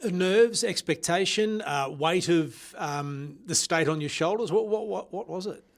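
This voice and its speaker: A man's voice: high-pitched, measured pace. A man interviewing a guest in a conversational way. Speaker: podcast interviewer.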